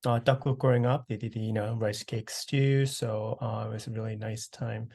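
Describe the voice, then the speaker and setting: A man in his thirties from South Korea, answering oral history questions over a video call, relaxed and full of "uh" and "you know". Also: lowish voice, normal speed, gravelly. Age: 30s. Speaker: interviewee.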